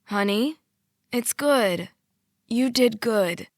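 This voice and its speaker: A woman voicing a sweet, gentle middle-aged mother who speaks softly and reassuringly. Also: a little high, lively intonation, extremely slow, dry recording, clean studio sound, full character voice. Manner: soft, reassuring, apologetic.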